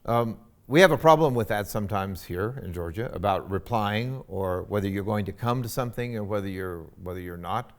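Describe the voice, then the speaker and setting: A man giving a university lecture on etiquette, with a loud, emphatic voice and frequent fillers. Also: on the low side, a little fast, hardly any pauses.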